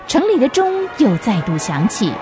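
A woman's voice: fairly high pitch, huge pitch swings, moderate pace, over music. A woman narrating a radio drama as a storyteller, reading from a script.

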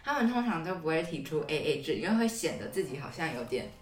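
A woman with a low voice talks as a podcast host, explaining in a conversational, looping way. She sounds slightly distant in a roomy space, with music underneath. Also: big pitch swings, fast.

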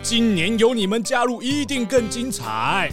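A fussy, welcoming cartoon mayor whose pitch swoops up and down. He bustles along with effusive hospitality and little hesitant interjections, in a children's mystery drama with music behind him. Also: very animated, measured pace, full character voice. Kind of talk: children's detective radio drama.

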